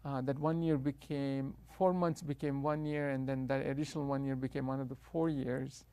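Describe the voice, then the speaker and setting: Middle-aged South Indian man, interview guest, hesitant, gravelly and flat. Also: mid-range voice, moderate pace, no room sound. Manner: hesitant, many uh fillers and restarts. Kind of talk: interview, telling his life story.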